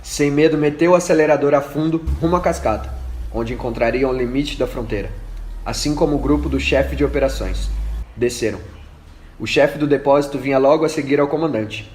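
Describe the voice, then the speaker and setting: A man's voice: medium pitch, fairly quick, darkish tone. A man reading scripted narration for an amateur radio drama. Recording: echoey room with faint noise.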